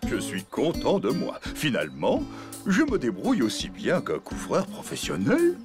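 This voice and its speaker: A man's voice: mid-range voice, huge pitch swings, normal speed. A man playing a cheerful, boastful elephant dad in a children's cartoon, reassuring and theatrical. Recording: music behind the voice.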